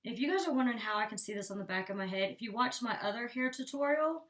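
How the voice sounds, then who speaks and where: A woman's voice: a little high, expressive, quick pace, fairly bright tone. A young woman chatting casually through a hair tutorial, rambling with lots of "like", a bit congested and out of breath. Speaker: beauty vlogger. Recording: distant from the mic.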